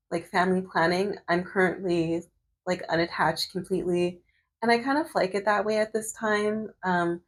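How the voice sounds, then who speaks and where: A woman in her thirties vlogging while doing her hair, chatting casually with lots of fillers and restarts. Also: mid-range voice. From Canada. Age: 30s. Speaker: medical student and vlogger.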